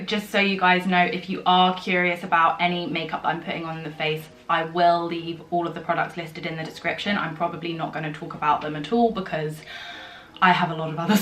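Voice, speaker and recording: A young woman, slightly husky from a sore throat, chatting and rambling through a get-ready-with-me vlog with many fillers. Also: fairly low pitch, fairly bright tone. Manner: rambling, filler-heavy storytelling. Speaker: beauty vlogger. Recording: echoey room with faint music.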